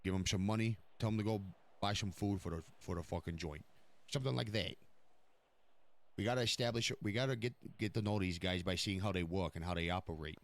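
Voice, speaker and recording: A man with a very deep voice talks off the cuff in a roleplay game. He is blunt and swears often, keeping it conversational. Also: a little fast. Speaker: streamer playing a mob boss in online roleplay. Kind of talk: casual in-character roleplay chat.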